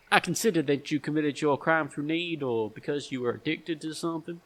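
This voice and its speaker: Stern, deliberate man an actor performs him, highish voice. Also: natural voice. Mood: accusing. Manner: deliberate, accusatory, emphatic. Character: stern, shrewd, self-righteous man confronting a thief. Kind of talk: audio stage-drama acting.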